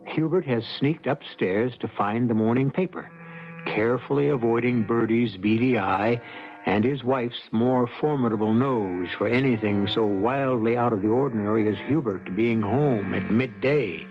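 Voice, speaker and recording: A man's voice: mid-range voice, normal speed. A man hosting an old-time radio drama, reading scripted narration with wry, literary, theatrical phrasing. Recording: dull vintage radio recording. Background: music.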